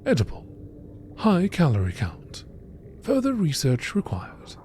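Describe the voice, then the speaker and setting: Mellow, higher-voiced male documentary narrator. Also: huge pitch swings, measured pace, a little hiss or hum. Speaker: narrator reading game text aloud. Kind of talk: nature documentary narration, scripted.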